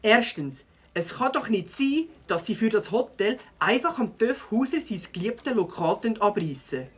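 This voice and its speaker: A woman's voice: mid-range voice, big pitch swings, measured pace, slightly rough. A woman voicing a young male beaver, caring and determined, in a children's audio drama, earnest and Swiss-accented.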